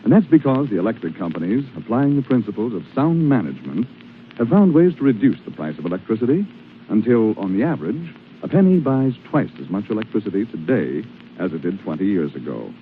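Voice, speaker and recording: A man's voice: on the low side, sing-song, a little fast. A man working as a polished 1940s radio announcer, reading scripted commercial copy in a salesmanlike way. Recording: noisy narrow-band 1940s radio recording.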